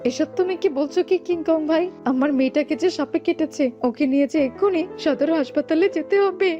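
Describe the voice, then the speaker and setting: A woman's voice: high-pitched, a little fast, dark tone, dull audio, lightly acted. A woman voicing an anxious, devoted father fox in a cartoon, with fretful exclamations. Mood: urgent, anxious. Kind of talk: cartoon dub acting. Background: music.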